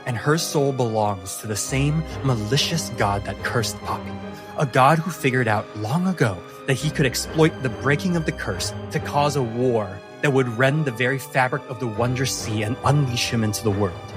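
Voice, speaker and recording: A man narrating a tabletop fantasy game as game master, with a measured, deliberate storytelling delivery. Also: medium pitch. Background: music.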